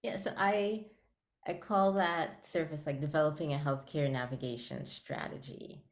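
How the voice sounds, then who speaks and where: A woman's voice: low-pitched, moderate pace, echoey room. A woman from Guyana, a podcast founder, answering interview questions cheerfully and laughing between phrases.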